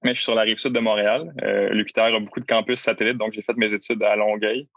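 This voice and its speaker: Québécois guy talks super fast, flat low voice, kinda muffled. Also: vocal fry, dry recording. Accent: Québécois French. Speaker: accounting intern guest. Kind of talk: casual interview answers.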